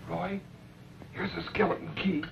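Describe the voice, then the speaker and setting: An actor playing a folksy, excitable comic cowboy sidekick with a gravelly voice in an old-time western. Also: male voice, high voice, measured pace, heavily acted. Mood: jokey. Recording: dull, noisy old radio recording.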